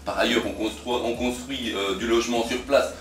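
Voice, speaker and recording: A man's voice: medium pitch, steady tone, normal speed. A man reasoning aloud as a politician in a debate, often repeating words as he searches for the next one. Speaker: local politician in a panel debate. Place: cafeteria. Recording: echoey room, slightly distant mic.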